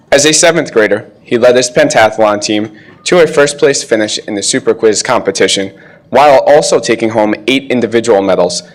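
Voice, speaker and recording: Man, medium pitch, school assistant director, formal scripted ceremony speech, reading out achievements. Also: poor audio. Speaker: junior high assistant director. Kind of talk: formal scripted award introduction. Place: school promotion ceremony, speaking to an audience.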